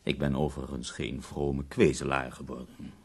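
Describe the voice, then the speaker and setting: An actor playing a bedridden, dying poet in a radio drama, ironic, eloquent and given to complaint, with a gravelly, husky voice. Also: male voice, very deep voice, flat delivery, a little mellow, full character voice. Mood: ironic confiding. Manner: eloquent, wry, drawn-out pauses.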